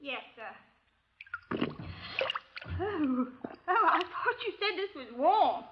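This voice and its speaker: A coy, giggly young assistant in 1950s radio drama. Her very high, girlish voice goes slowly and flutters with embarrassment. The recording is muffled and old. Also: big pitch swings, noisy background, exaggerated voice. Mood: coy, embarrassed.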